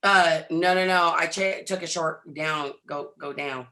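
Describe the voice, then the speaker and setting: Hoarse, hyped-up man with a bright voice. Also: fairly high pitch, unhurried. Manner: energetic, hype-filled, chatty with viewers. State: hoarse, lost his voice. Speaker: trading coach on a live stream. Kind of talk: hyped live trading stream.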